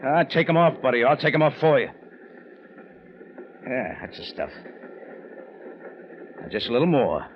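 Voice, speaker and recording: A man's voice: medium pitch, big pitch swings, moderate pace, long pauses, a little hiss or hum, natural voice. An American man playing a tough, wry adventurer in an old radio detective drama, telling his case in clipped slang. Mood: confident, wry. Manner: clipped hardboiled slangy delivery. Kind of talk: 1940s-50s radio detective acting with first-person narration. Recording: dull old radio recording with music.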